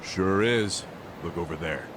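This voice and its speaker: An actor voicing an eager junior yakuza sidekick in a video game dub, talking in cocky slang. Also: male voice, low voice, measured pace, background noise. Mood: casual. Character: young junior yakuza sidekick, eager, mock-threatening debt collector.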